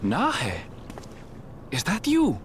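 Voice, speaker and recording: Man playing an earnest, high-voiced anxious young man. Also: huge pitch swings, very slow, background noise, heavily acted. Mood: hopeful. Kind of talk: video game dialogue read aloud. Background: game music.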